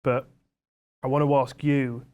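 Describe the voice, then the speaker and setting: A man's voice: medium pitch, level tone, unhurried, a little mellow, lo-fi sound. A young man co-hosting a podcast, reflective and asking probing questions in casual chat. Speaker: podcast co-host.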